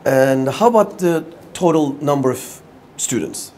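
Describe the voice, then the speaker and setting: A man's voice: mid-range voice, lively intonation, unhurried, a little mellow. A man with a Turkish accent, a native Turkish speaker, hosting a conversational interview. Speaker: interview show host. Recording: clear background ambient noise.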